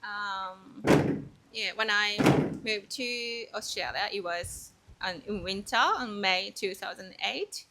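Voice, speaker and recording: A woman with a Japanese accent gives casual interview answers in a bright, clear-edged tone at a middling pitch. She speaks haltingly in English, her second language, often searching for the right word. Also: low-quality recording. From Kobe, Japan. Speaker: interview guest.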